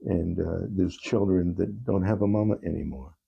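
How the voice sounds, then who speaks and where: Husky, gravelly low-voiced man. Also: steady tone, moderate pace, muffled recording. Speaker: guest, musician and songwriter. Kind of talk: earnest conversational interview.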